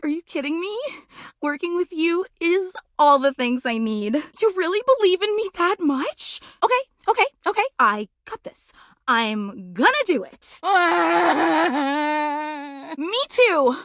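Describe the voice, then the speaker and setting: A woman's voice: sits high, lively intonation, slow pace, fairly bright tone, dry recording, clean studio sound, full character voice. A woman voicing a nervous, starstruck female electric fan in a video game, gushing and rambling in a flustered, dramatic way. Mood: elated. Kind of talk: animated video game character voice acting.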